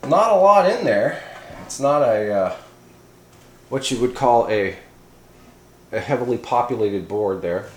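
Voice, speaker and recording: Male hobbyist reviewer, laid-back and folksy, average pitch, roomy echo with some background noise. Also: expressive, unhurried, long pauses. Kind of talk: casual hands-on product review. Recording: echoey room, noticeable background hiss.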